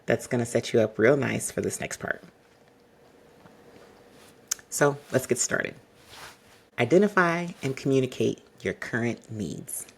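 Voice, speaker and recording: Husky, gravelly-voiced podcast host man. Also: mid-range voice. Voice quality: monotone, polished delivery. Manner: measured, exacting, addresses listener directly. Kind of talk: advice podcast monologue.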